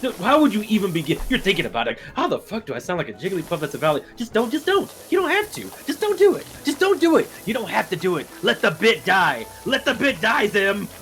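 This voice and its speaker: A man's voice: high voice, big pitch swings, quick pace, hardly any pauses, fairly bright tone. A man bantering casually as a game streamer, dramatically exasperated and sweary.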